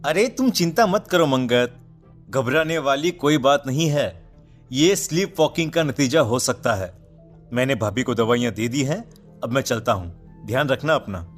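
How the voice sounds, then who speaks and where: A man playing a psychologist and family friend in an animated horror story, reassuring and measured, over background music. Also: medium pitch, natural voice. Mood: calm, reassuring.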